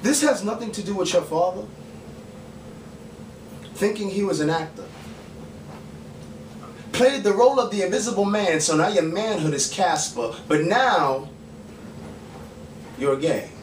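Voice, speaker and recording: A male spoken word poet performs theatrically, his high voice swinging widely in pitch. He puts weight on pointed questions and leaves deliberate pauses. The recording comes from a distant camera in a small bar room, with some background noise. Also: expressive, roomy sound. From the Bronx, New York, USA. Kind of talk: dramatic spoken word poetry performance on stage. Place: small dim bar stage, indoors. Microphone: far camera mic, stage mic unused beside him.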